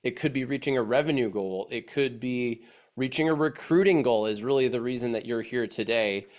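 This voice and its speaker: A man's voice: mid-range voice, fairly quick. A man from the Chicago suburbs presenting a casual webinar, congested from allergies, talking in a friendly, rambling way with frequent restarts. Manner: friendly, chatty, many restarts and fillers. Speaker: webinar presenter, agency head.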